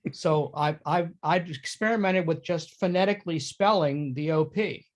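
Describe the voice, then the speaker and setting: Man, fairly high voice, conversational and opinionated, taking part in a casual theatre chat. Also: normal speed. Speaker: participant in theatre discussion. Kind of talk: casual discussion.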